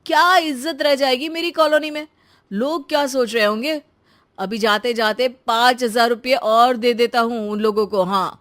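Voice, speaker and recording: In a cartoon story, a brash young husband who loves to show off throws his money around and talks big, loud and pleased with himself, as a woman voices him. The voice sits fairly high for a man. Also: normal speed, lightly acted. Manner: boastful, brash. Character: showy spendthrift young husband, boastful. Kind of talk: cartoon dubbing.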